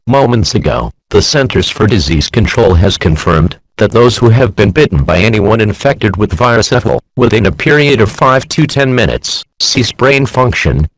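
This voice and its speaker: A man playing a stern emergency broadcast announcer in an audio drama, reading official warnings in a formal, impersonal, measured manner. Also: medium pitch, no room sound, poor audio. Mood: grave, official.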